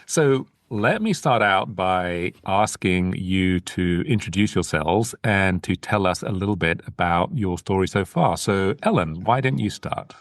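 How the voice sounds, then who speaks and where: A man co-hosts a podcast over a video call, thanking listeners and asking his guests measured questions. The sound is close and dry. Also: low voice, lively intonation, no echo. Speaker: podcast co-host. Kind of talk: casual podcast interviewing.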